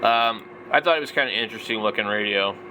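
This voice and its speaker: A man's voice: lowish voice, fast talker, background noise. A man in his forties giving casual, off-the-cuff product commentary, rambling and thinking aloud. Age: 40s. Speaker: vlogger, radio hobbyist.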